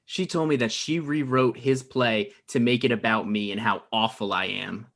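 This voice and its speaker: A man's voice: medium pitch, steady tone, normal speed, fairly bright tone, natural voice. A man playing a neurotic, self-absorbed playwright, conversational and rambling, in a comedy table read. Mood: bitter, obsessed.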